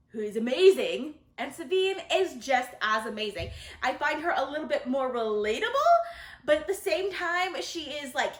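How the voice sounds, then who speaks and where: A woman talking casually and enthusiastically to her viewers as a book reviewer, gushing and emphatic. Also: high-pitched. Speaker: book reviewer, video host. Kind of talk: casual book-tag vlog talk to viewers. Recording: roomy echo.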